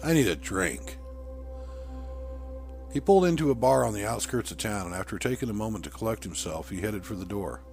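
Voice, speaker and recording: A man's voice: lowish voice, expressive, fast, vocal fry, hardly any pauses, darkish tone. A man reading a short story aloud as a scripted audiobook narrator. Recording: music bed under voice. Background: background music.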